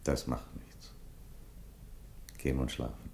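A man's voice: a bass voice, level tone, slow, gravelly, darkish tone, roomy sound, a little hiss or hum, heavily acted. A man playing a solemn, defiant, fatherly Roman emperor in a theatrical radio drama, declamatory in manner. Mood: calm, solemn. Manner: solemn, declamatory, from whispers to thunder.

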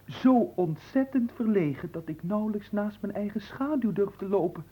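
Cheeky, husky-voiced flirtatious manservant. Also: male voice, sits high, expressive, moderate pace, poor audio. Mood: wooing. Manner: theatrical, coaxing, breaking into hushed pleading. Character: cheeky, flirtatious manservant, smooth-talking and playfully servile. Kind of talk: Dutch radio play acting.

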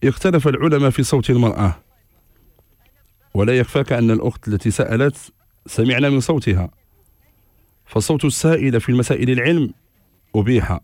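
A man delivers religious rulings on a radio show, quick and authoritative, quoting texts one after another. His voice is dry and close to the mic. He sounds sure and steady throughout. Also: medium pitch, no echo. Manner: measured, authoritative, cites scripture and scholars. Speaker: religious scholar answering questions.

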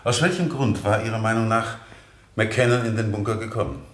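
An actor playing a formal, insistent courtroom examiner who questions witnesses in a measured way in a radio play. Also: male voice, on the low side, fairly bright tone, lightly acted. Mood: formal, probing. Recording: echoey room, 1970 radio play.

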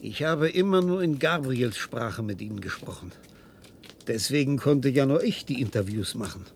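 An actor playing an obsessive, cold scientist in a radio drama, lecturing with intensity and secretive command. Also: male voice, medium pitch, lively intonation, moderate pace, creaky, a little hiss or hum. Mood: hushed, commanding.

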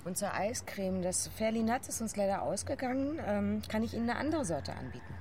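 Radio drama: woman playing a courteous female server, medium pitch, clear background noise. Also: lively intonation, brisk, a little mellow, natural voice. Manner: polite service manner. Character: polite, obliging waitress serving train passengers. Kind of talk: naturalistic radio drama dialogue. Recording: clear ambient noise behind.

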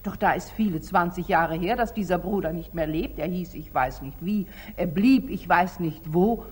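A woman's voice: on the low side, normal speed, breathy edge. An actress playing a devoted, sentimental, stubborn middle-aged female companion, earnest and declamatory in a 1940s radio drama. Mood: gossiping. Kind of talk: 1940s German radio drama stage acting.

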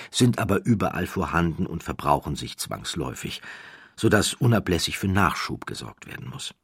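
A man narrates a scripted documentary story in a measured, dramatic way with deliberate pauses. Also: sits low, fairly bright tone, breathy edge, dry recording, clean studio sound.

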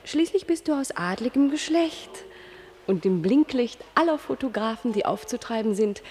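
A woman's voice: fairly high pitch, expressive, normal speed, darkish tone, a little hiss or hum, natural voice. An actress playing a spoiled, dreamy yet anxious female star in a German radio play, with an affected, gushing manner. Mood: dreamy, vain. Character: spoiled, dreamy, anxious woman star, former dishwasher girl.

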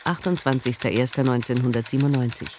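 A woman announcing dates and places in a crisp, matter-of-fact way. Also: very deep voice, fast talker. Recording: noisy, with clicks and typing behind.

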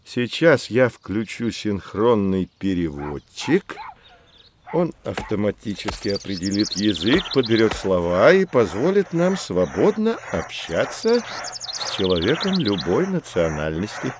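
A man playing a knowledgeable, friendly teacher guide in an educational audio drama, explaining in a measured, didactic way. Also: on the low side, big pitch swings, background noise. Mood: explanatory. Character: knowledgeable, friendly teacher guiding children through history.

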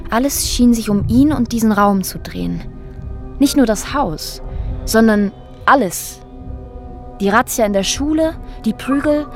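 An actress playing a woman who recounts a strange, disturbing ordeal in a reflective, halting, matter-of-fact radio drama monologue. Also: on the high side, lightly acted. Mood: pensive. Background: music under the voice.

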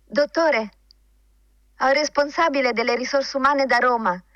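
An actress playing a dutiful, businesslike, slightly defensive secretary in a radio drama, in a dull, band-limited recording. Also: female voice, high-pitched, normal speed, noisy background, natural voice.

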